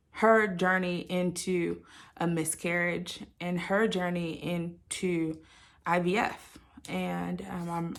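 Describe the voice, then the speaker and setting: Reflective woman with a creaky voice. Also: on the low side. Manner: halting, reflective, often qualifies own statements. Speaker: host of a personal channel. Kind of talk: candid personal storytelling to listeners.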